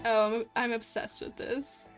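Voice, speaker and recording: A woman's voice: a little high, steady tone, measured pace, a bit bright. A woman livestreamer chatting casually with viewers, giggly and gushing, using lots of internet slang. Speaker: livestreamer, host. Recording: background music behind voice. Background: light background music.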